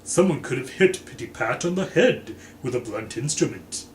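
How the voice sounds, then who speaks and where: A man voicing a self-important, blustering military gentleman who speaks with pompous emphasis in a storybook reading. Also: mid-range voice, animated, moderate pace, lightly acted. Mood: accusing. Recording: echoey room, faint background noise.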